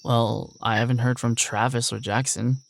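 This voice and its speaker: An actor playing a curious, skeptical brother in an audio drama, speaking in casual, short prompts. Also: male voice, mid-range voice, steady tone, moderate pace, gravelly, a little hiss or hum, natural voice.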